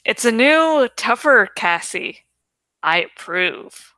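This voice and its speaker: A woman's voice: on the high side, very animated, slow pace, bright, forceful tone, dry recording, full character voice. A woman voicing a bold, demanding teenage girl from a novel, with a blunt, dryly approving manner. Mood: dry amusement.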